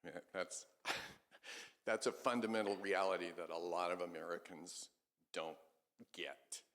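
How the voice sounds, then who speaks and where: A man making emphatic, deliberately phrased points in a casual group discussion. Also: deep voice, fairly bright tone. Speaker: class participant. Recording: reverberant hall.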